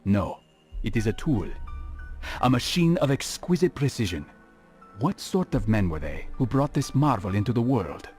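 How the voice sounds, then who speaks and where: Stern scholarly assassin played by a male actor, mid-range, formal, expressive intonation, music behind. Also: mid-range voice, lightly acted. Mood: reflective. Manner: measured, formal. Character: grave, learned young assassin, stern and reflective.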